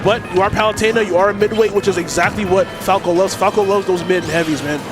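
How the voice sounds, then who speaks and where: Young male esports caster, high voice, energetic and joking, music playing behind. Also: fast talker. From Toronto. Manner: energetic, joking, hypes plays. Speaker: esports commentator. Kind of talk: live tournament commentary.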